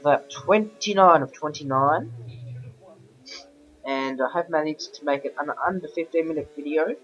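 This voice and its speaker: A man with a croaky voice from a sore throat, giving rambling, self-correcting casual commentary over racing game footage. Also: fairly high pitch, normal speed, fairly bright tone. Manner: rambling, keeps correcting himself. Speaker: gameplay commentator. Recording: dull lo-fi voice-over with game music behind.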